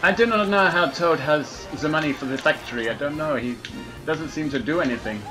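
A man doing comic gameplay commentary in a fake French accent, excitable and hammy, laughing at his own jokes. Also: on the high side, a little fast, over music, low-quality recording. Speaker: let's play gamer.

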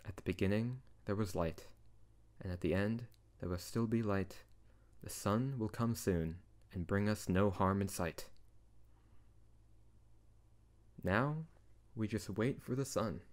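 Casting: male actor as deep-voiced wizard boyfriend, tsundere, teasing yet tender, for intimate ASMR roleplay. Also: measured pace, lightly acted. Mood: calm, reassuring.

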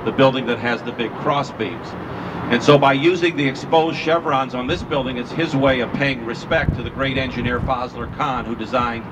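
Older guy doing boat tour commentary, sounds far off and muffled, really loud wind noise. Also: mid-range voice, steady tone, moderate pace. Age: older, retired. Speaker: architecture boat tour guide. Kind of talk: live guided tour commentary with anecdotes. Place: outdoors on an open river tour boat. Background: loud wind, outdoor city and boat noise.

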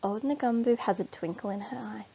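A woman reading a children's storybook aloud expressively, with deliberate emphasis. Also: on the high side, gravelly, dark tone, husky, dull audio. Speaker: storybook reader.

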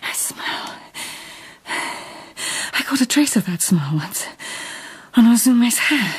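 Anxious loyal court lady in a radio drama, really breathy husky voice, intense. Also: a little high, expressive, takes it slowly, heavily acted. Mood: frightened, urgent. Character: devoted, anxious court lady of old Japan.

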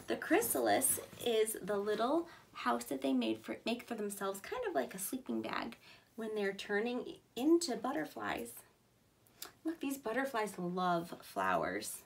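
A woman in her thirties, a preschool teacher reading aloud to young children in simple, clearly emphasized words. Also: a little high, slight background noise.

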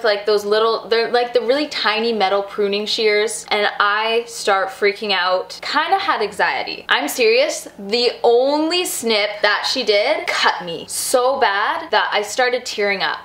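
A woman in her twenties chatting casually to camera, telling a personal story in an animated way and acting out reactions. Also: a little high, echoey room. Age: 20s. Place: furnished home room with a desk, indoors.

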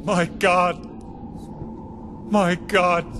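Anguished, high-crying crucified Jesus. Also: male voice, little pitch movement. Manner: loud, drawn-out anguished cry. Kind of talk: dramatized audio Bible acting. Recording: heavy noise and ambience behind.

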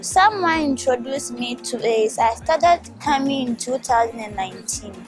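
A young woman from Ghana speaks slowly and carefully, sometimes restarting a word. Her voice is somewhat high, and she is recorded outdoors with gentle music underneath. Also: animated. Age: young adult. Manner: slow and careful, some restarts. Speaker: high school graduate. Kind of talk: casual testimonial. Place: outdoors by a stone wall.

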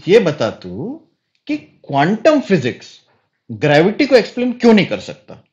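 Exasperated high-voiced uncle played by a man. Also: wildly swooping pitch, normal speed, darkish tone, highs cut off. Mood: mocking, challenging. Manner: scolding, incredulous. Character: exasperated, indignant middle-aged uncle taking a prank call. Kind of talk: comedy sketch dialogue.

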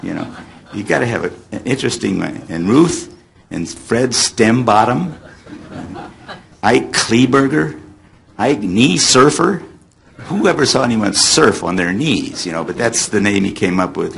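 An American man in his seventies reminiscing to an audience as an animated storyteller, drawing out words for effect. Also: lowish voice, creaky. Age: 70s. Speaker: former child radio actor on a panel. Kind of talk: reminiscing to an audience, taking questions. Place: hotel meeting room, panel table. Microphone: table microphone on stand. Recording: noisy hotel meeting-room amplification.

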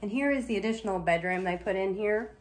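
A woman's voice: medium pitch, quick pace. A woman working as a real estate agent, narrating an informal walk-through of a property. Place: walking through a house and its yard.

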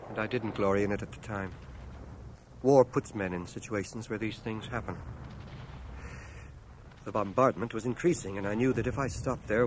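An actor playing a war veteran who soberly recounts his battle memories in measured, articulate, literary storytelling for a radio drama. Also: lowish voice, lightly acted. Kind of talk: dramatized first-person narration, radio drama. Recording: noisy cassette of 1980 radio drama.

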